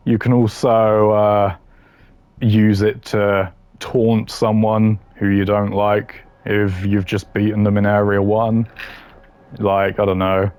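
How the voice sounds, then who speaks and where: A man's voice: fairly low pitch, level tone, takes it slowly, darkish tone, clear, resonant tone, no room sound, dull audio. A man giving casual, opinionated gaming commentary in a blunt, slangy way, ranking voice lines. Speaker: gamer ranking game voice lines.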